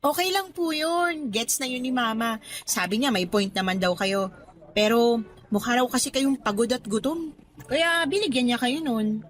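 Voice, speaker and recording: A woman's voice: fairly high pitch, normal speed, lightly acted. An actress playing a cheerful, respectful young working woman in a Tagalog radio drama, speaking politely to an elder. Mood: warm, reassuring.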